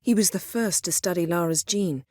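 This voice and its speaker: Proud, commanding game sorceress, played by an actress: lowish female voice, sardonic and crisply articulated, recorded close and dry. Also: normal speed, a little mellow, no room sound, lightly acted. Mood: composed, serious. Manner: imperious, sardonic, clipped and articulate. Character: haughty, sharp-witted adult sorceress, proud and commanding. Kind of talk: video game character voice acting.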